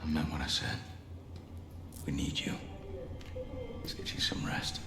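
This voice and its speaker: An actor playing a steady, decisive middle-aged militia leader in a video game, plain-spoken and commanding. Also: male voice, a bass voice, measured pace, echoey room, in a large hall, music in the background. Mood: gentle. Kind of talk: video game cutscene dialogue.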